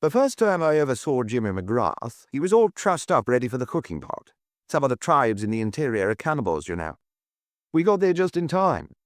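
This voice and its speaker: A man's voice: medium pitch, big pitch swings, a little fast, dry recording, pitched above the performer's own voice, natural voice. A man voicing a breezy, wry young adventurer working as a tour guide, with a dry, teasing manner.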